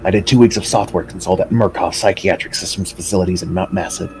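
A man's voice: deep voice, fairly even intonation, quick pace, a little mellow, faint music behind. A man reading game text aloud as dramatic narration on a livestream.

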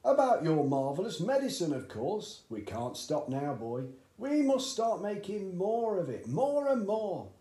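A man's voice: sits high, very animated, dark tone, pitched above the performer's own voice. A man doing a bellowing overexcited father. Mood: excited. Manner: bellowing, gushing, drawn-out exclamations. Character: overexcited loud middle-aged father scheming big. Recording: roomy echoey home recording.

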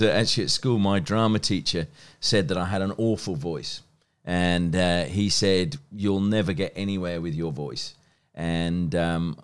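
A man in his fifties from England's West Country with an educated English accent, telling stories conversationally as an interview guest. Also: low-pitched. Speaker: guest, sports journalist and broadcaster. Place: small plain indoor room. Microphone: close microphone on a stand.

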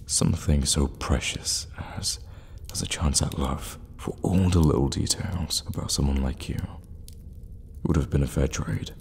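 A man playing a seductive, menacing evil warlock in an ASMR roleplay, teasing in a breathy murmur with halting pauses. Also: darkish tone, slight background noise, exaggerated voice. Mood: teasing, amused. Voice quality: breathy low murmur, often whispering. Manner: slow, teasing, halting for effect. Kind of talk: intimate ASMR roleplay acting. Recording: long reverberant cave-like echo.

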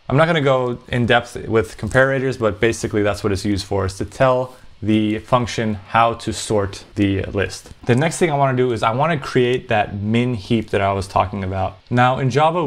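A man hosting a programming tutorial, explaining step by step in a conversational way. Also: medium pitch.

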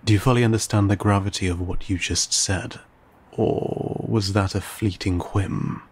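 A man playing an ancient, courteous, guarded vampire in an intimate audio roleplay, speaking in formal, old-fashioned, measured phrases with some hesitation. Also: steady tone. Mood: cautioning, stern. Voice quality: low, gravelly, breathy.

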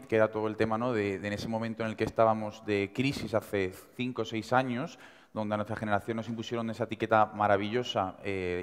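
Fast-talking young Andalusian man on stage. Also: on the low side, clear, resonant tone. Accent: Andalusian Spanish. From Seville, Spain. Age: 20s. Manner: fast, energetic, conversational. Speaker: invited speaker. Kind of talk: motivational talk to students. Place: large university auditorium. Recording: reverberant hall.

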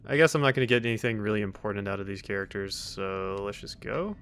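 A man's voice: on the low side, quick pace, fairly bright tone. A man giving casual let's play commentary in a dry, joking, sarcastic way. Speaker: gaming video host. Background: video game sounds.

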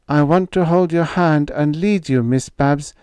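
A man voicing a huge male robot in a story reading, with flat, measured, formal speech. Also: on the high side, smooth, no echo, voice pushed up, close to an everyday voice. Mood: earnest.

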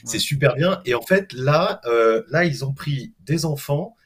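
A middle-aged man chats on a panel over a video call. His mid-pitched voice moves in a halting way, doubling back on words as he explains. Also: expressive, breathy edge. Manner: halting, hesitant delivery with many self-repetitions. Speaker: guest animated film director. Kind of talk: casual panel discussion.

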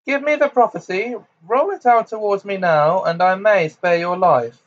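A man voicing a cruel, fanatical adult witch in an audiobook, shrill, imperious and frantic. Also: steady tone, unhurried, darkish tone, dull audio. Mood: menacing, imperious.

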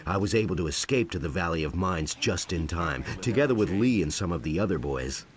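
An actor playing a wry, seasoned rogue who talks in a familiar, explanatory way in a video game. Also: male voice, low voice, lively intonation, fairly quick. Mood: explaining. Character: wry, seasoned rogue, old comrade and guide.

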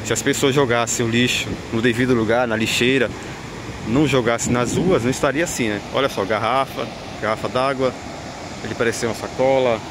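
A man's voice: mid-range voice, steady tone, fairly quick. A man with a Brazilian accent giving unscripted walking vlog commentary, repeating himself in an admonishing way with frequent tag questions. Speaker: vlogger. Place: outdoors on an open windy beach. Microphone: handheld camera mic, behind the camera. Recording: loud wind noise on mic. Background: wind and outdoor ambience.

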